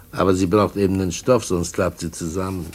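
An actor in a radio drama playing a sly, sinister drug dealer and blackmailer, mockingly polite, smug and cajoling. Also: male voice, low voice. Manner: smug, cajoling, mock-courteous, chuckling. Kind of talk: mid-century German radio drama acting.

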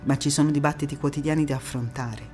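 An actress playing a proud, spirited young female parliamentarian recounting memories in an expressive, emphatic stage monologue. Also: deep voice, soft background music, natural voice. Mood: lively, amused. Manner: expressive, deliberate, emphatic phrasing. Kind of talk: theatrical first-person monologue.

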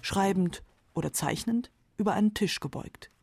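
A woman's voice: medium pitch, expressive, normal speed, vocal fry, a little mellow. A woman narrating a radio feature from a script. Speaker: documentary narrator.

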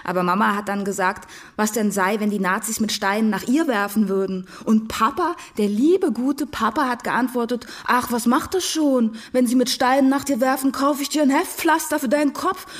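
A woman's voice: fairly high pitch, normal speed, few pauses, close to an everyday voice. A young woman playing a breezy, bossy, self-satisfied girl of nineteen from a well-off home in a radio drama. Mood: chatty, animated. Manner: chatty, bossy, carefree.

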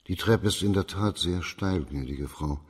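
An actor playing a shrewd, middle-aged judge of imperial China in a radio crime play, formal, measured and authoritative, with a husky voice. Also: male voice, deep voice. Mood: calm, probing.

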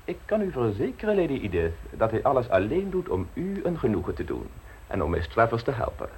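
A man's voice: mid-range voice, huge pitch swings, a little fast, slight background noise. An actor playing a witty, self-assured young male secretary in a radio play, talking in a breezy, teasing way. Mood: cocky.

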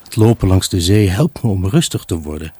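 Husky low-voiced brooding husband. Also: male voice, lively intonation, fairly quick, mellow. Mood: reflective, weary. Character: troubled, brooding husband recounting his unhappy marriage. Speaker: actor narrating a radio drama. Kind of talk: dramatic first-person monologue in a Dutch radio play.

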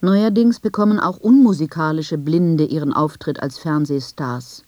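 A woman reads scripted, first-person literary narration in a measured, reflective manner with deliberate phrasing. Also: sits low, warm tone. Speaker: narrator of a literary radio piece.

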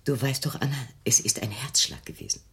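A woman's voice: very deep voice, a little fast, a bit bright, in a whisper. An actress playing an anxious, protective middle-aged mother in a German radio drama, soothing and placating in a theatrical manner. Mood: weary, reassuring. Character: anxious, protective, coaxing mother of a troubled daughter.

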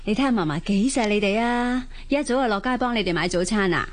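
A woman's voice: medium pitch, fast, lightly acted. A woman playing a busy, caring young mother, fussing colloquially in a radio drama. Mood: gentle. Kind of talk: Cantonese radio drama acting.

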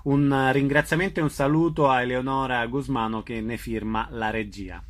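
A man's voice: medium pitch, fairly even intonation, normal speed. A man hosting a radio interview, putting questions to guests and announcing show details.

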